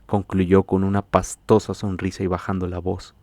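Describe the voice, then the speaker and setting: A man narrating a literary story in a measured, scripted audiobook reading. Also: sits low, monotone, a little mellow, dry recording.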